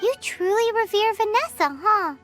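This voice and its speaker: A woman voicing a tiny, childlike fairy companion in a video game, squeaky, chirpy, earnest and caring. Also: animated, normal speed, fairly bright tone. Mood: curious. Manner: squeaky, earnest, refers to self in third person. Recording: background music over voice.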